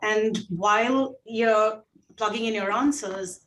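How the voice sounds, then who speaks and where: Woman, Indian accent, gentle call co-host, pausing often; roomy, off-mic sound. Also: medium pitch, distant mic. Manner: gentle, many fillers and pauses. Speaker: guest co-host, shelter veterinary director. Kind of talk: casual video call chat. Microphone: video call.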